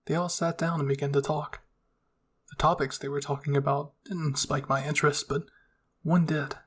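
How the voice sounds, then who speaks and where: A man's voice: fairly high pitch, level tone, normal speed, slightly rough. A man narrating a first-person fan fiction story as an ASMR roleplay.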